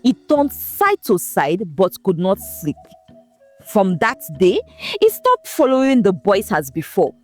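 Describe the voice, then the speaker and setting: A woman narrating a folktale in a scripted, expressive, dramatic storytelling manner. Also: medium pitch, measured pace, faint music behind.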